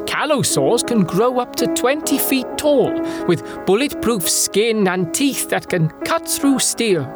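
A woman's voice: fairly high pitch, animated, measured pace, loud music behind the voice. A woman voicing a villainous mad scientist in a sci-fi audio drama, smug, grandiose and mock-courteous. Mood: grandiose ranting.

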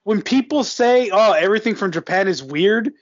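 High-voiced man, just over a cold. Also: lively intonation, moderate pace, dry recording, lo-fi sound. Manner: rambling, joking, many fillers. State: recovering from a cold. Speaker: podcast guest co-host. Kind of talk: casual chat.

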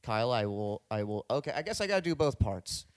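A man's voice: medium pitch, sing-song, a little fast, clear, resonant tone. A man in casual podcast banter, rambling and joking with lots of swearing.

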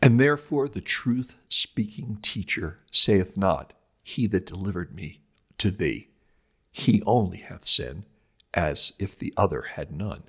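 A man with a lowish, gravelly, husky voice reads a religious commentary from a script. His phrasing is deliberate and oratorical, leaning firmly on key words like a preacher delivering an old sermon. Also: expressive, fairly bright tone.

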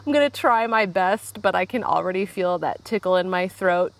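A woman's voice: fairly low pitch, normal speed, dry recording. A young woman hosting a casual solo podcast, chatting and rambling from her backyard while getting over a cold with a lingering cough. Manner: chatty, rambling, frequent "like" and asides. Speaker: podcast host. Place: outdoors in a backyard.

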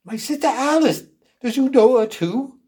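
Guy doing an eager little storybook girl, high pitched, lispy and innocent. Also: lively intonation, moderate pace, dark tone, breathy edge, full character voice. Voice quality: childish lisp. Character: small innocent girl, excited and lisping.

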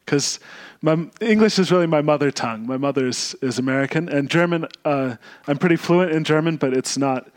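A man with a slightly higher voice, raised between Austria and the U.S., talks as a guest on a podcast about his own path. He is a monk, and he speaks thoughtfully, often pausing and circling back to find the right word. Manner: thoughtful, searching for words. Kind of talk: podcast interview.

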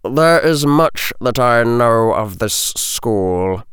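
A man voicing a cold, menacing potions master in a very soft, slow, guarded voice for an audiobook. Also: mid-range voice, lively intonation, clean tone, dry recording, studio quality. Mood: soft, threatening. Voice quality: very soft, hushed. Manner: slow, drawn-out, deliberate.

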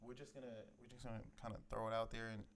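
A man from Jamaica who grew up in Queens, co-hosting a casual podcast, easygoing and halting. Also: medium pitch, little pitch movement, a bit bright, slight background noise. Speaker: podcast co-host. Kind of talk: casual chat. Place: apartment in the Bronx. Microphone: handheld recorder mics.

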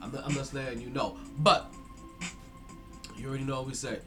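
A man's voice: medium pitch, steady tone, fairly quick, over music. A man hosting a sports podcast in a hyped, slangy way, a bit stuffy-nosed from allergies and repeating his words. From South Florida. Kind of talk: casual sports talk.

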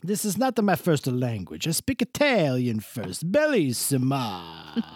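A gushing middle-aged Italian matron, put on by a podcast co-host, mangling her English in a thick mock Italian accent. Her pitch swoops up and down as she piles on exclamations. The sound is dry and close. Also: wildly swooping pitch, slow pace, dry recording, full character voice. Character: effusive middle-aged Italian woman, Mrs. DeRossi. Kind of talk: comic character bit in casual chat.

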